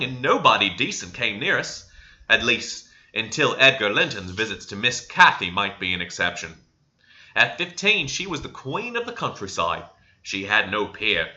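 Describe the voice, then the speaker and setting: A man's voice: medium pitch, sharp tone, lo-fi sound. A man reading a classic novel aloud as a dramatic storyteller. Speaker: audiobook reader.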